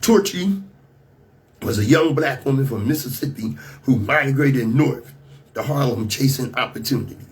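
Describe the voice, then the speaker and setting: A man with an American accent and a slightly rough voice, hosting a radio talk show with emphatic, rhetorical commentary. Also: mid-range voice, lively intonation, normal speed. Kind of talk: radio monologue mixing scripted commentary and casual asides.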